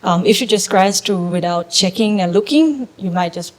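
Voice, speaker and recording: A young woman gives a talk to an audience at a middle pitch. She explains things step by step in a measured way. She fills pauses with ums and her English grammar slips now and then. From Thailand. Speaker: startup co-founder giving a talk. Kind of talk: presentation to a live audience.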